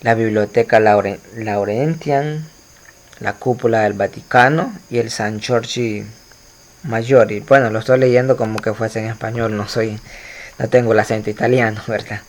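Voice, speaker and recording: A man's voice: on the low side, steady tone, normal speed. A man teaching an art history lesson to students over slides, explaining at length with frequent fillers and restarts. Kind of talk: recorded slide lecture.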